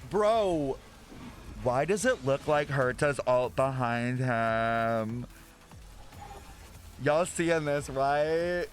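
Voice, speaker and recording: A man streaming a game, reacting casually in slangy, expressive, incredulous talk. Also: mid-range voice, extremely slow, long pauses. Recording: game music playing behind the voice. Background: video game music and battle voices.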